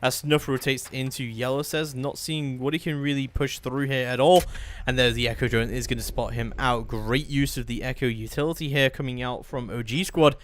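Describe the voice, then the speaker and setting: A male esports play-by-play commentator with a mid-pitched voice. He describes the match in a flowing, detailed stream, with noticeable noise and clicks behind him. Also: fairly even intonation, normal speed.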